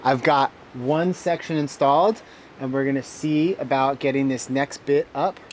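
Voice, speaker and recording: A man's voice: mid-range voice, moderate pace, slight background noise. Man talking through a DIY job. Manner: explains each step plainly and calmly. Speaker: host of a home renovation channel. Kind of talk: casual DIY vlog narration.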